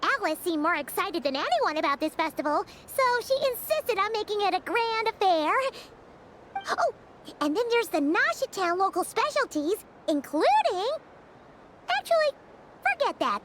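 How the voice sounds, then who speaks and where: Very high squeaky childlike game companion. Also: female voice, sing-song, normal speed, fairly bright tone, a little hiss or hum, exaggerated voice. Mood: chatty, encouraging. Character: small childlike girl companion, chirpy and bossy. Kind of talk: video game voice acting.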